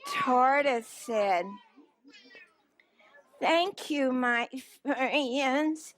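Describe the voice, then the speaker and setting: A woman reading a picture book aloud to children as a story time host, with dramatic, drawn-out emphasis and questions to her listeners. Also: high voice, lively intonation, a bit bright, no echo.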